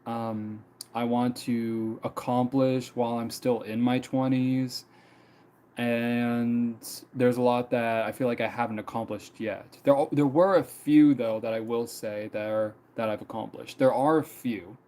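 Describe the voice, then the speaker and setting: Young man, mid-twenties, mellow relaxed tone, loose rambling live-stream chatter. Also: medium pitch, a little slow. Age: 20s. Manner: rambling, many fillers and repeats. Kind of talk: casual personal storytelling.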